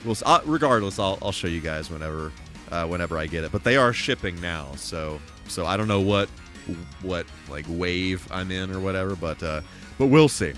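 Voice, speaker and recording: Male game streamer, lower register, very lively intonation, rambling and emphatic, music underneath. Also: moderate pace. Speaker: live streamer and host. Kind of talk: casual rambling game livestream chat. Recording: music playing behind the voice.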